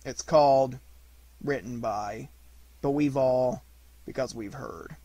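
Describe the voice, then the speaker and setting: A man voicing a gloomy, self-important old donkey in a children's storybook, halting and pompous, muttering asides. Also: mid-range voice, warm tone, dry recording, highs cut off. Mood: flustered.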